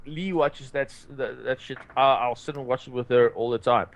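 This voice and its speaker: A man's voice: mid-range voice, normal speed, gravelly. A married man on a casual podcast, blunt and swearing casually. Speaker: podcast co-host. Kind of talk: casual chat.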